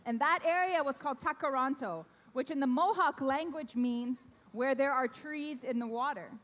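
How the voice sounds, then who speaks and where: A young woman with a high, bright-sounding voice hosts a ceremony for kids, coaxing them into call-and-response. Her first language is Korean, though she grew up in Canada. Her words ring out with the long reverb of a large hall. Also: normal speed. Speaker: event host. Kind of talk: addressing a young audience at an awards ceremony.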